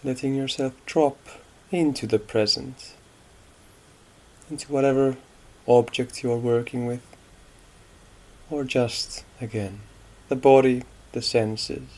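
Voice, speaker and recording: A man guiding a meditation in a calm, unhurried manner, leaving long pauses between phrases. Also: mid-range voice, rough recording. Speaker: meditation guide. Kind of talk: guided meditation, unscripted instruction.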